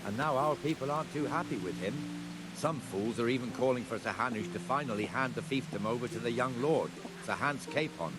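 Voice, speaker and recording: A man's voice: mid-range voice, animated, brisk, over loud music, close to an everyday voice. A man playing a plain-spoken, matter-of-fact townsman in a cinematic game. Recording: clearly noisy outdoor ambience.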